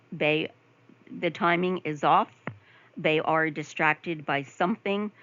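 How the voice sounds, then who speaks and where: A woman instructor giving a conversational recorded lecture over slides, with frequent ums, asides, and throat clearing. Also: low-pitched, a bit bright, no room sound, lo-fi sound. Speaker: nursing instructor.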